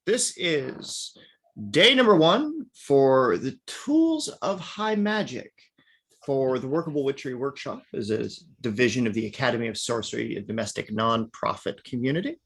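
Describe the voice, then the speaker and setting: A man's voice: mid-range voice, sing-song, unhurried, fairly bright tone, husky. A man teaching an online workshop class in a chatty lecturing way, often adding "right?". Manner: chatty, peppered with "right?" and "now".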